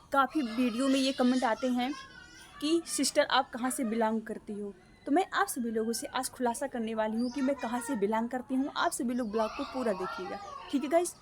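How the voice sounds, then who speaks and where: A woman's voice: fairly high pitch, noisy background. A young woman from Ambedkar Nagar, chatting casually to her vlog viewers outdoors by a guava tree, recorded on a phone and repeatedly addressing them as guys. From Ambedkar Nagar, Uttar Pradesh, India. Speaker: vlogger.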